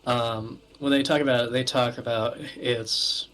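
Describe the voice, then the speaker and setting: A man's voice: medium pitch, steady tone, measured pace, fairly bright tone, poor audio. A man co-hosting a podcast over an online call, chatting casually in a hesitant way. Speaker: podcast co-host. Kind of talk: casual chat. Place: remote voice call.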